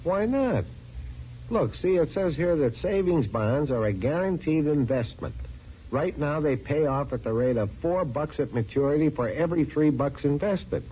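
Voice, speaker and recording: Emphatic, put-upon husband played by an actor, big swings in pitch, old radio commercial, dull sound with faint noise. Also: male voice, lively intonation, normal speed, natural voice. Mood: earnest. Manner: emphatic, explanatory. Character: earnest, exasperated husband, adult male. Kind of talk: old-time radio advertisement sketch. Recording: muffled vintage radio recording, faint noise.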